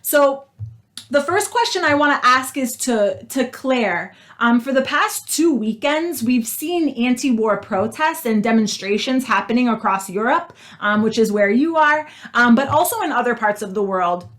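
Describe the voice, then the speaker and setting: A woman's voice: a little high, expressive, moderate pace, a bit bright. A woman hosting a political talk show, speaking earnestly with frequent "um" fillers. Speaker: host.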